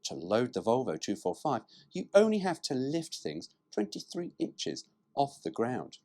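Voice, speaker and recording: A man presents in a mid-range voice with a husky edge. His intonation leaps about constantly as he moves between reading copy aloud and making irreverent asides. His manner is cheeky and informal, with some swearing. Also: mid-range voice, wildly swooping pitch, a little slow, warm tone. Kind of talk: reading a car brochure aloud with joking asides.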